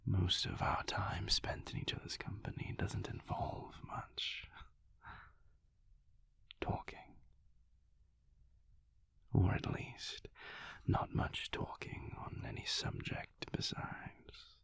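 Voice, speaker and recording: Man as a low, whispering vampire lover. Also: a bit bright, dry recording, heavily acted. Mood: wry, measured. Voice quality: breathy, husky whisper with gravelly creak. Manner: slow, deliberate, pausing mid-sentence. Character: mysterious male vampire lover, calm, tender, guarded. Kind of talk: intimate whispered audio roleplay. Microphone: close, dry microphone.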